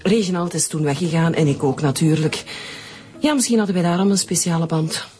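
A woman's voice: lowish voice, brisk, darkish tone, close to an everyday voice. An actress playing a flustered, sentimental woman in a radio drama, defensive and pleading as she is questioned. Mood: wistful, earnest. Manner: hesitant, pleading. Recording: faint music behind.

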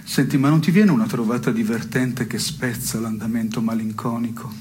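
An actor playing a wry, melancholy man in an absurdist stage dialogue, speaking in a measured deadpan. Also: medium pitch, close to an everyday voice. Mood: wry, inquiring. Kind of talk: absurdist two-hander theatre dialogue. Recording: noisy radio broadcast of theatre piece.

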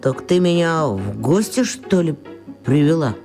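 A woman's voice: deep voice, big pitch swings, measured pace, music in the background, heavily acted. A woman voicing a plain-spoken, cheeky and slightly awkward young schoolboy in a children's radio play. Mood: hesitant, grumbling.

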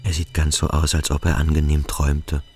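An actor playing a brooding schoolboy in a radio drama, speaking his inner thoughts in a terse, halting way. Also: very deep voice, little pitch movement, a little mellow, soft background music. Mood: hushed observation.

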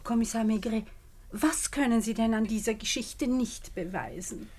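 An actress as a minister's devoted wife in a 1950s radio crime play. Her voice is somewhat high. She sounds anxious and pleading, refusing to accept what she is told. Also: normal speed, darkish tone, natural voice. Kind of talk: 1950s German-language radio play acting.

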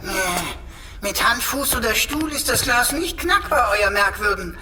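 Pompous, cheeky computer sidekick, background noise. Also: male voice, extremely high pitch, animated, unhurried. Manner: pompous, mock-archaic, wordy, teasing. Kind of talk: radio play acting.

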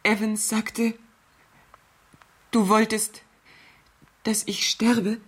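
A frightened rich wife, confined to bed, in a 1970s German radio thriller. Her voice is high and breathy, halting as she pleads, helpless and anxious. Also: flat delivery, heavily acted. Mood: hesitant, pleading.

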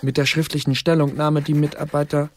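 An actor playing a formal, officious Elizabethan clerk who reads out official records in a radio drama. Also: male voice, on the high side, little pitch movement, brisk, slight background noise, lightly acted. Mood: formal, businesslike. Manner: officious, bureaucratic. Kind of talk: radio drama, reading out a document.

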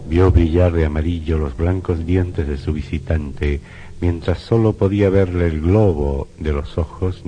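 A man narrating a suspense radio drama in a solemn, literary, measured manner. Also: low voice, warm tone. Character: solemn male narrator of eerie suspense tale. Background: music.

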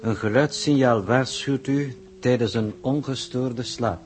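Male, actor as formal space technician, medium pitch, explanatory, very noisy vintage radio with tone. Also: measured pace, close to an everyday voice. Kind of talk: 1960s Dutch science fiction radio drama acting. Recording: very noisy radio play with electronic tone.

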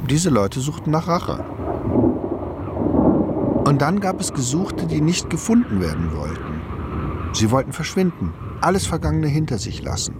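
A man's voice: medium pitch, noisy background. An actor playing an older man who reflectively recalls his childhood in a German radio play. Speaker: eyewitness narrator in a radio documentary drama.

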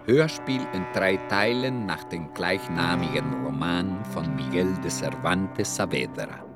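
A man's voice: sits low, unhurried, loud music behind the voice. A man reading the title and cast of a radio drama as a scripted announcement.

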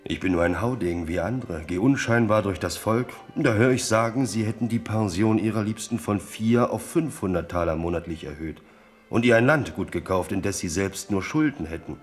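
Man playing a low-voiced, blunt soldier courtier. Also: moderate pace, faint music behind, close to an everyday voice. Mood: slyly candid. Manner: frank, sly, feigns indifference. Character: blunt old-soldier courtier, loyal yet needling the king. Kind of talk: German radio drama acting.

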